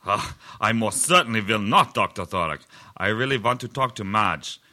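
A man playing a calm hypnotist doctor in a dark comedy sketch, coaxing slowly and formally. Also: fairly low pitch. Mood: polite insistence. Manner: slow, soothing, formal coaxing.

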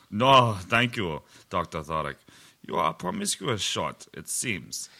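A man playing a calm hypnotist doctor in a dark comedy sketch, coaxing slowly and formally. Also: sits low, vocal fry, heavily acted. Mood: polite insistence. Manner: slow, soothing, formal coaxing.

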